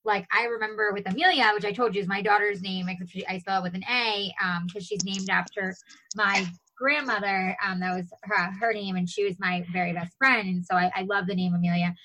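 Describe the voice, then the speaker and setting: A woman's voice: medium pitch, steady tone, brisk, pushed and bright, slight background noise, rough recording. Gushing, chatty woman hosting a show. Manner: gushing, chatty, many fillers like "you know" and "like". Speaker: show host. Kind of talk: casual interview chat.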